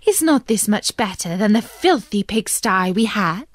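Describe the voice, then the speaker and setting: A woman plays a demanding, greedy wife from a children's fairy tale. She is commanding and dismissive, with a high, swooping delivery and a dry, close sound. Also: lively intonation, measured pace, dry recording. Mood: proud. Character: bossy, greedy, insistent fisherman's wife.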